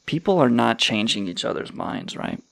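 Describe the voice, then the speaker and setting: A man's voice: medium pitch, big pitch swings, moderate pace, vocal fry, a bit bright, no echo. A man hosting a crude comedy radio show, sarcastic and rambling, swearing freely. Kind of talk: irreverent comedic banter.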